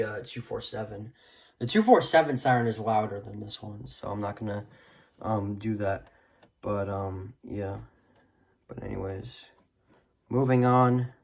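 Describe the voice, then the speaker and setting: A man casually presenting a collection in a hesitant, rambling way that often trails off. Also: medium pitch. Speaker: video creator showing a radio collection. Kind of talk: casual collection showcase chat.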